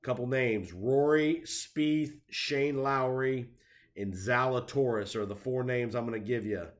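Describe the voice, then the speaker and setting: A man with a stuffy head cold giving casual, conversational golf commentary and predictions, with lots of fillers. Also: medium pitch. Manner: conversational, many fillers, drawn-out emphasis.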